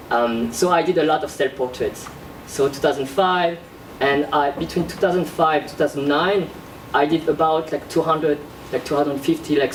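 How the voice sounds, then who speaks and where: A young man gives a casual talk about his own artwork, pausing and restarting often. His voice sits a little high. The room is echoey and he is picked up from across it, with a faint hum behind him. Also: far from the mic. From born in South Korea, raised in France. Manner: halting, many fillers and restarts. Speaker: visual artist presenting his work. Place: classroom with projector screen. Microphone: far camera at back of room. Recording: roomy echo from across the room.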